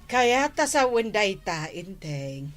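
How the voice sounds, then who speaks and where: A woman's voice: medium pitch, sing-song, slow pace, soft background music. A woman playing a worried village woman in a comedy drama, plaintive yet everyday in delivery, with an Ilocano accent. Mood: complaining. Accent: Ilocano, Philippines. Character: worried village woman fretting over household chores.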